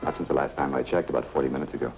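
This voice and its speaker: Very deep, gravelly, weary professional. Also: male voice, steady tone, rapid-fire, a little mellow, faint music behind. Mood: weary, determined. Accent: American. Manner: terse, matter-of-fact. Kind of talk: 1950s radio police drama acting.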